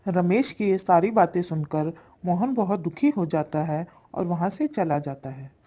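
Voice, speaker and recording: A woman's voice: lowish voice, animated, measured pace, mellow. A woman reading scripted narration as the storyteller of a cartoon fable.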